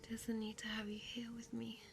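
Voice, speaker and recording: A woman's voice: a little high, monotone, noisy background. Woman playing a whispering, possessive female vampire. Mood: hungry, possessive. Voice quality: breathy whisper. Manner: slow, intimate, coaxing, formal old-fashioned phrasing. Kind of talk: ASMR audio roleplay.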